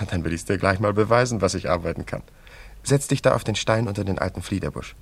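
An actor in a radio drama playing a young travelling painter, friendly, teasing and coaxing. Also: male voice, deep voice, brisk, slightly rough. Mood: playful, coaxing. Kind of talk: German radio drama acting.

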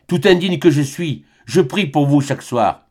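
Young priest, tormented but unyielding, read by a male narrator. Solemn, expressive delivery. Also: on the high side, normal speed, voice pushed up. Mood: fervent. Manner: solemn, quiet, grave. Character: young priest, anguished, stiff, penitent.

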